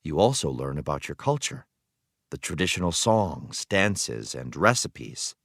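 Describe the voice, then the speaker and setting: A man reading a children's history text aloud as a teacher would, with expressive, clearly phrased delivery. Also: rumbling low, measured pace, no room sound, clean studio sound.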